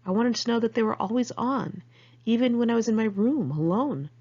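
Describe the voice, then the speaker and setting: A woman's voice: fairly high pitch, lively intonation, brisk, darkish tone, muffled recording. An American woman reading her own memoir aloud in a candid, conversational, sardonic way. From United States, Los Angeles area. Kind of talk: first-person memoir narration, read aloud in one take.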